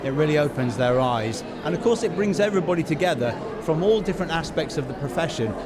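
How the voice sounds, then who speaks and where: A man's voice: on the high side, animated, fast talker. A man hosting a casual backstage podcast interview at a busy event. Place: busy public venue at an awards event. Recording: very loud crowd chatter behind. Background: loud crowd and venue noise.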